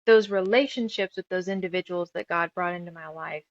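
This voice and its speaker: A woman's voice: fairly low pitch, fairly quick, no echo. Woman in her thirties, slightly dull audio. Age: 30s. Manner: rambling, emphatic, piles up lists. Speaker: guest author and musician. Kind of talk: casual interview storytelling.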